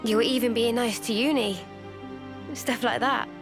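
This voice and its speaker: An actress playing a tender, appreciative young heroine in a fantasy video game, with an informal, soft-hearted manner. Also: female voice, a little high, steady tone, normal speed, music in the background, natural voice. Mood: touched, grateful. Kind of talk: video game cutscene acting.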